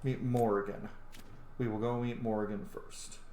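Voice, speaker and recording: A man's voice: mid-range voice, little pitch movement, normal speed, warm tone. A man doing casual let's play commentary, informal and sweary, thinking aloud as he plays. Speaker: gaming video host. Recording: echoey room with faint background noise.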